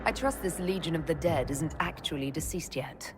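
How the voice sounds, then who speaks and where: A woman playing a composed elf mage leader in a video game, measured and questioning. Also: deep voice, background noise, natural voice. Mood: composed, inquiring. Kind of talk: video game dialogue acting.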